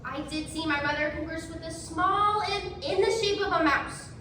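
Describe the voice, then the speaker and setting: An earnest, credulous Puritan wife acted by a woman, very high in pitch and declaiming her accusations. The stage performance is heard from far off in a heavily echoing hall with clear background noise. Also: normal speed. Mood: earnest accusing. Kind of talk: theatrical stage acting. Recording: echoey hall from far off, noisy.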